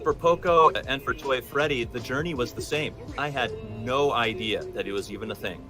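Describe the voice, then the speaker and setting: A man's voice: mid-range voice, moderate pace, over music. A man in his forties, a voice actor being interviewed, telling stories in an enthusiastic, emphatic conversational way. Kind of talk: animated conversational storytelling.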